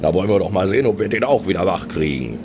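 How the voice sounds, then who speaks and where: A man's voice: low voice, expressive, rapid-fire. A man improvising in character as a player in a tabletop role-playing game. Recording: clearly noisy background ambience.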